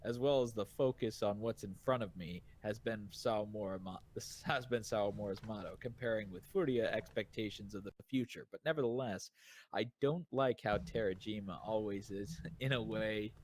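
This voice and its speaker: A man with a fairly low voice co-hosts a casual podcast. He reads listener comments aloud in a halting way, often rephrasing, with faint noise in the background. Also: a little mellow. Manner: halting reading, rephrasing as he goes. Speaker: podcast co-host reviewing manga.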